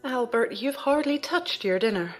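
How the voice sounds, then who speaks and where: Actress as gentle, reflective middle-aged mother. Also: a little high, lively intonation, normal speed, faint music behind, lightly acted. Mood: concerned. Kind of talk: audio drama acting.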